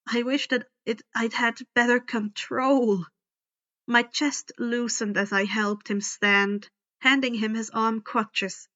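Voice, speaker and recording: A woman reading first-person fiction aloud in a deliberate, dramatic storytelling style. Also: fairly high pitch, bright, forceful tone, muffled recording. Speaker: fan fiction audiobook reader. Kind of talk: expressive first-person scripted narration.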